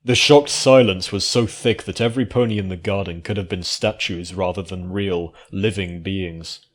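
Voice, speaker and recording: A man narrates a written fantasy story. His voice sits on the low side. The delivery is a scripted storytelling read. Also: fairly even intonation, moderate pace. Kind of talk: scripted fan fiction narration.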